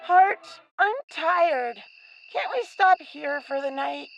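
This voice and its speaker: A woman playing a reckless, gleeful young boy adventurer in a radio play, cheeky and excitable. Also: sits high, slow pace, vocal fry, dull audio, faint music behind, full character voice. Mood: weary.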